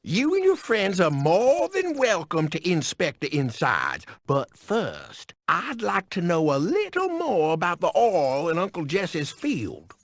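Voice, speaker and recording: An actor playing a pompous, scheming, sly old county boss with a Southern American accent and a wheedling manner. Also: male voice, high-pitched, huge pitch swings, unhurried, hardly any pauses, no room sound, full character voice.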